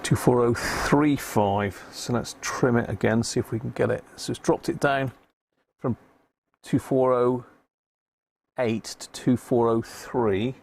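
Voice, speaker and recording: A man's voice: mid-range voice, steady tone, a little slow, a little mellow. A man giving casual live commentary on an electronics repair, muttering to himself as he concentrates on fiddly work. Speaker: amateur radio hobbyist hosting a live repair stream. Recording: clear background noise.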